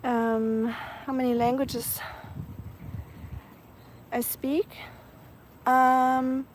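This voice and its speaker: Woman, German accent, fairly high voice, soft casual chat, faint outdoor ambience. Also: little pitch movement, drawn-out words, a little hiss or hum. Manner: soft-spoken, chatty, many ums, laughs lightly. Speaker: vlogger. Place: outdoors in the evening, nature sounds around.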